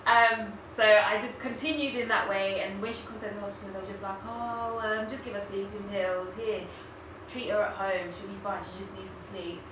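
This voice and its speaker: A young woman from Zambia, raised in Botswana, speaking haltingly as an interview guest, with many fillers and restarts. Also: medium pitch, steady tone. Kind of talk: personal story in an interview. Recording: echoey room, far from mic, background noise.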